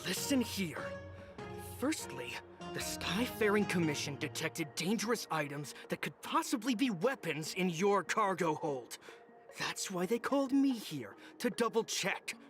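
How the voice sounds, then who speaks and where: A man's voice: sits high, very animated, a little slow, a bit bright, soft background music, exaggerated voice. An actor playing a testy, by-the-book craftsman in a video game, firm and exasperated as he explains. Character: testy, by-the-book male craftsman inspecting cargo.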